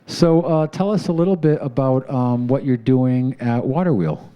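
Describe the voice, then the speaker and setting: A man's voice: mid-range voice, moderate pace, muffled recording. A middle-aged man hosting a live community TV studio show, talking in a proud, easygoing way with plenty of fillers. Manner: proud, relaxed, rambling with fillers. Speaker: show host.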